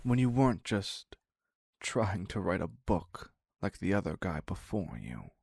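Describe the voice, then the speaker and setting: A man playing an obsessive, needy captor devoted to his captive in an intimate dark romance roleplay, husky and halting, often dropping into a whisper. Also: deep voice, big pitch swings, no room sound, clean studio sound, full character voice. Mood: wounded, brooding. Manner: halting, pleading, very slow with long pauses.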